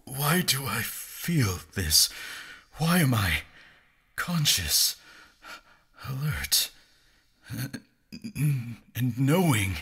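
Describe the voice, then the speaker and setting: A man playing a tormented, bewildered soul trapped in a dream realm, narrating an audio drama in a halting, brooding, often breathy way. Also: mid-range voice, sing-song, bright, forceful tone, heavily acted. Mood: bewildered, uneasy.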